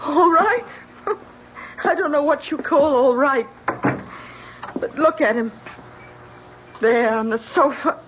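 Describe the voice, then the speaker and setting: Haunted young wife: high voice, bitter, melodramatic, urgent, dull old radio sound. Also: female voice, slow, pauses often, noisy background. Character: bitter, frightened, superstitious young wife obsessed with vampires. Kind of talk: 1970s radio drama acting.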